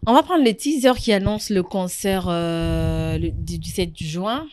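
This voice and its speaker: Female host, low voice, short replies, dry close sound. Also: a little slow, darkish tone, no room sound. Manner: brief backchannel replies. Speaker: interviewer host. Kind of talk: casual interview chat.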